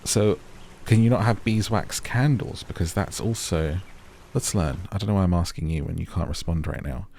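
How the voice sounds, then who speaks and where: Deep, gravelly man chatting through a game. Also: moderate pace, darkish tone, a little hiss or hum. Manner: chatty, musing to himself, addressing viewers. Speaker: gaming video host. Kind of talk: casual gaming commentary, thinking aloud and reading on-screen text.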